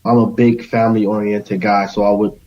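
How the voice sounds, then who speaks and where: A man's voice: on the low side, little pitch movement, normal speed, a little mellow, highs cut off. A man talking casually as a guest on a podcast. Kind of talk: casual conversation.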